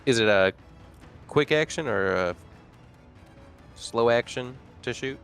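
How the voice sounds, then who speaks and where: A man's voice: mid-range voice, lively intonation, takes it slowly, vocal fry, fairly bright tone, soft background music. A man playing in a tabletop game, talking casually and hesitantly with many fillers. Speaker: player.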